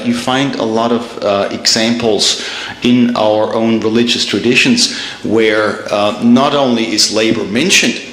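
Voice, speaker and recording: A man's voice: mid-range voice, fairly bright tone, slight background noise. German-accented man lecturing in an echoey room. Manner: measured, frequent uh and um fillers, repeats words. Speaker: guest speaker, theologian. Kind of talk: public lecture. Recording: echoey room, faint ambience.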